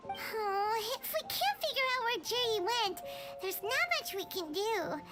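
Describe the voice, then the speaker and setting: A woman's voice: very high-pitched, animated, measured pace, over loud music. A woman voicing a small, childlike female fairy companion in a video game, curious and eager. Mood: curious, puzzled.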